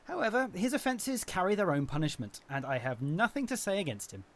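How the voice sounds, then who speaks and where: Casting: a jovial kindly nephew, lively and expressive. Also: male voice, sits high, fairly quick. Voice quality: warm, laughing, jovial. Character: cheerful young man, good-natured nephew.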